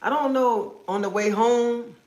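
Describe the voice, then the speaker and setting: A woman's voice: fairly high pitch, measured pace, a little mellow. A woman hosting a casual livestream from home, feeling sick as if coming down with a cold, rambling and repeating herself. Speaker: livestream host. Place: at home, indoors. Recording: echoey room.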